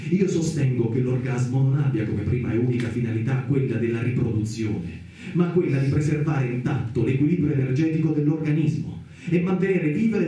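An actor playing an earnest, principled intellectual in a radio play, arguing his case in a measured, insistent way. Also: medium pitch, warm tone, close to an everyday voice. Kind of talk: theatrical monologue in radio play. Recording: very echoey, distant, music behind.

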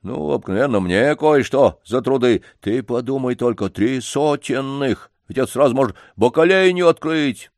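A man reading an audiobook, voicing a loud, pushy male yard-keeper who keeps coaxing and pleading. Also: medium pitch, animated, moderate pace, hardly any pauses, no room sound, studio quality, pitched above the performer's own voice, natural voice. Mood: insistent. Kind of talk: audiobook character voice.

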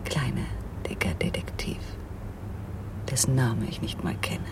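A woman's voice: very deep voice, measured pace, in a whisper. An actress playing a seductive, cunning female swindler in a radio crime drama, coolly flirtatious and dropping into murmurs. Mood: confiding, coolly casual. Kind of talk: 1980s German radio crime drama acting.

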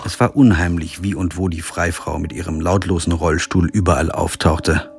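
An actor playing a wry, pragmatic lawyer in a radio crime drama, narrating and speaking in a dry, understated way. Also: male voice, sits low, fairly even intonation, moderate pace, creaky, over music. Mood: matter-of-fact. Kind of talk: scripted first-person narration and radio drama dialogue.

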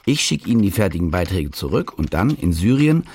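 An actor playing a cynical, evasive journalist in a radio play, narrating and talking in a laconic, colloquial, deadpan way. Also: male voice, low voice, a little fast, slightly rough, full character voice. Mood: wry, laconic. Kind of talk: radio play first-person narration and dialogue. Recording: music behind the voice.

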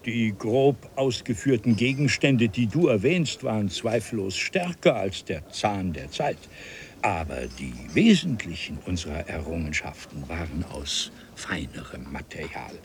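A stately, low-voiced elderly time traveller. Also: male voice, animated, unhurried. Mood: superior, instructive. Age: older. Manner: formal, archaic, ornate address. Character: elderly courteous scholar time traveller from an ancient civilization. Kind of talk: German radio play acting. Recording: 1970s radio play with audible noise.

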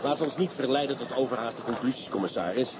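An actor playing a brisk, wry, commanding police inspector in a radio play, with a Netherlands Dutch accent. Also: male voice, medium pitch, heavy background noise, natural voice. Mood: businesslike, commanding. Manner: commanding, dryly sarcastic.

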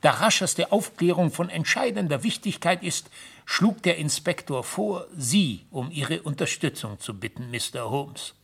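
An actor playing a distraught, formal middle-aged gentleman in a German radio play, emphatic and agitated. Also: on the high side, animated, normal speed, vocal fry, slightly rough, heavily acted. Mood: desperate, agitated. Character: distraught, formal older gentleman banker, father and uncle.

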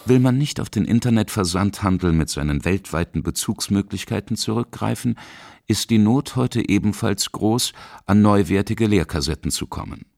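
A man's voice: on the low side, animated, moderate pace, creaky, a little mellow, no echo. A man reading scripted narration for a radio feature as its reporter. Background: music.

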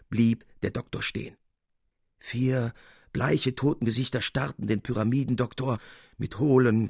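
A man reading literary narration as an audiobook narrator, in an expressive, measured storytelling manner. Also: medium pitch, vocal fry, breathy edge, no echo, studio quality.

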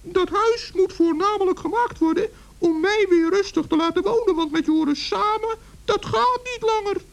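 A man's voice: very high voice, normal speed, vocal fry. An actor playing a small, kindly, fretful male forest gnome in a children's radio play, earnest and plaintive. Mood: pleading, firm.

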